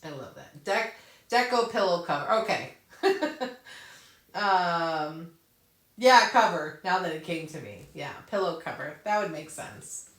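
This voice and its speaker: A woman's voice: on the low side, very animated, a little slow. A woman hosting a casual craft Q&A, answering viewers' questions and thinking aloud while she demonstrates on her computer, laughing often. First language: English. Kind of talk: casual live Q&A with on-screen demonstration. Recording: roomy echo, a bit far from the mic.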